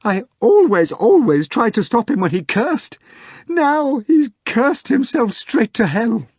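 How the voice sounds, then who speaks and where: A woman's voice: very animated, slow, darkish tone, breathy edge, no room sound, studio quality, exaggerated voice. A man voicing a hysterical young female secretary who wails through her tears in a slightly nasal, breathless way. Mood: wailing. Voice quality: a little nasal from crying. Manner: shrill, frantic, breathless wailing. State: crying. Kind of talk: audiobook character voice.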